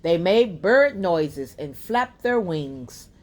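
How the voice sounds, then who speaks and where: A woman reading a children's picture book aloud as a bedtime story, in a deliberate storytelling manner. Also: lowish voice, expressive.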